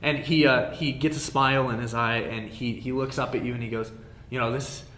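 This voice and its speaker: A man narrating a casual tabletop game as game master in a halting, conversational way. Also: steady tone, a bit bright. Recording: roomy echo, rumbling background noise.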